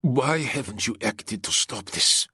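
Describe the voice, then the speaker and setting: A man's voice: mid-range voice, animated, unhurried, sharp tone, husky, no room sound, clean studio sound, exaggerated voice. A man voicing a hard, threatening Roman soldier with blunt, clipped speech in an audiobook. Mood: menacing. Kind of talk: audiobook character voice.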